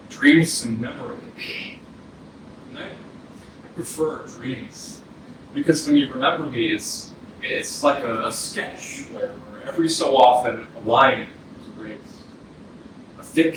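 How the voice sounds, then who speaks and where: A man playing the wistful ghost of a fourteen-year-old boy in a staged reading, halting and poetic with pauses. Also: medium pitch. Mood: wistful, hesitant. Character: dead fourteen-year-old boy's ghost, dreamy, wistful would-be filmmaker. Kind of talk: staged reading of a play, monologue. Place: indoor arts center stage, recorded from afar. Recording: very echoey, distant hall, clear noise, processed.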